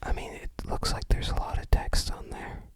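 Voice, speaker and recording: An ASMR creator whispering unhurried, musing, slightly hesitant commentary while showing items to the viewer.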